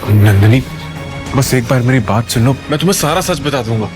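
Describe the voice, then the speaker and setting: A man's voice: medium pitch, lively intonation, a little fast, natural voice. Man voicing humble young husband, mid-range voice, hesitant, placating, audio drama, faint music behind. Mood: earnest, pleading. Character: meek, earnest young husband hiding a secret. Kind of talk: Hindi audio drama acting.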